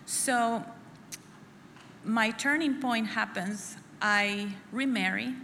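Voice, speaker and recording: A middle-aged woman from El Salvador, sharing her personal testimony at a church women's conference in an earnest, halting way, often repeating phrases. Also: a little high, creaky, sharp tone. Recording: echoey hall with faint room noise.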